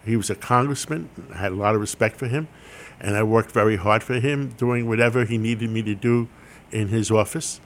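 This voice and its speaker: A man's voice: on the low side, a little fast. An older man, a Greek speaker from childhood, telling wry, self-deprecating stories as an interview guest. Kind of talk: interview storytelling.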